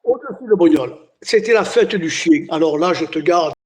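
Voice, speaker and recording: Chatty older Italian-born man, high voice. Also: normal speed. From Italy. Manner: chatty storyteller, many restarts and "hein". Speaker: singer and entertainer, guest. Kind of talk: casual interview chat.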